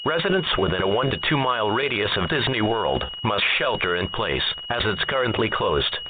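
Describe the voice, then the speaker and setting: A man reading a scripted emergency alert in a formal, impersonal, measured manner through a radio broadcast filter. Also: lowish voice, very animated. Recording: tinny phone-like radio sound, faint hum.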